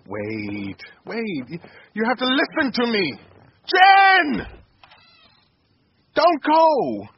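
A man's voice: very high voice, very animated, very slow, creaky, long pauses, heavily acted. An actor playing a desperate, broken man in an audio drama, pleading and stammering. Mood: frantic pleading. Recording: dull, hissy lo-fi radio recording.

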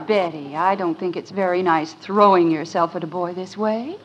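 A woman's voice: lowish voice, moderate pace, muffled recording, natural voice. Woman acting a patient American radio sitcom mother. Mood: gently reproving. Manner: patient, gently managing. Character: patient, mildly reproving American mother of a household. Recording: noisy old radio recording.

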